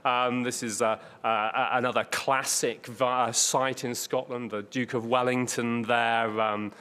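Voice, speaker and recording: A man's voice: medium pitch, level tone, a little slow, sharp tone, smooth. A middle-aged man giving an informal keynote talk with dry, understated humour and a hesitant, wry delivery. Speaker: keynote speaker, medical oncologist. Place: large conference hall. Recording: echoey hall with long reverb.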